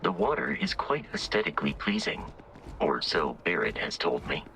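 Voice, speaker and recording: Deep, formal, literal robot. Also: male voice, big pitch swings, moderate pace, pushed and bright, slight background noise, exaggerated voice, low-quality recording. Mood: calm, informative. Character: polite, literal, formal male expedition robot. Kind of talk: scripted video game dialogue.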